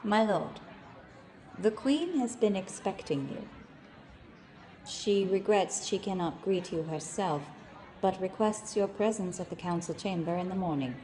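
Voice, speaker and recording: A woman's voice: medium pitch, expressive, a little slow, warm tone, slight background noise, natural voice. A woman playing a polite royal attendant, speaking formally and deferentially in a fantasy audio drama.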